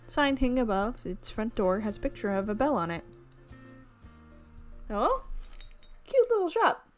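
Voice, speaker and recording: Chatty young woman reading a visual novel. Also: mid-range voice. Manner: chatty, amused asides, laughs at the script. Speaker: visual novel let's player. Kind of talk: reading a game aloud with casual commentary.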